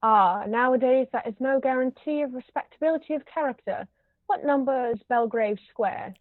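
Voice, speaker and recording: Imperious society matriarch played by a woman, high-pitched and pompous, stage comedy read-through, roomy muffled sound. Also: moderate pace, close to an everyday voice. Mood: snobbish, disapproving. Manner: pompous, formal, pronouncing judgments. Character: imperious, haughty older aristocratic English lady, snobbish interrogator. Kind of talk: amateur table reading of a stage comedy. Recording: roomy, muffled low-quality recording.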